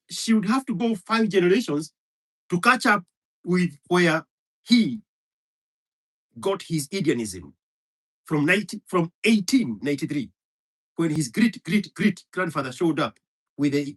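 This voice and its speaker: A man's voice: fairly high pitch, animated, moderate pace, long pauses, fairly bright tone, slightly rough, rough recording. A man from Kenya, a Kikuyu speaker, delivering a heated, profane monologue full of hammering repetition. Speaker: commentator. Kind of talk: impassioned monologue.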